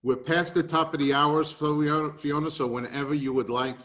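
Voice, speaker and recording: A man's voice: fairly high pitch, level tone, moderate pace, a bit bright. A man with a New York accent giving an emphatic, rallying business talk on a video call. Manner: emphatic, rallying, repeats key points. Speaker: webinar presenter.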